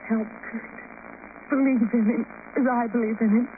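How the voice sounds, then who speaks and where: Actress as high-voiced, pleading young woman. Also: steady tone, normal speed, natural voice. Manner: pleading, intense, sincere. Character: earnest, devoted young woman pleading for her friend. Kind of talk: melodramatic 1940s-50s radio acting. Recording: muffled, noisy old radio recording.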